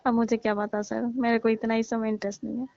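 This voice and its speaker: A woman acts out a coy young female coaching student with a higher-than-average voice. She sounds hesitant, evasive and pleading in a casual, conversational way. The comedy skit has the dull, lo-fi sound of a recorded phone call. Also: flat delivery, quick pace, vocal fry, warm tone, no echo. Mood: bashful. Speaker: performer in a comic prank-style phone call skit.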